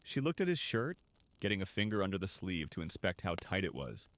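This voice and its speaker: A man narrating a scripted story for an audio drama in an expressive, dramatic way. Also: low voice, fairly quick. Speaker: narrator and voice actor.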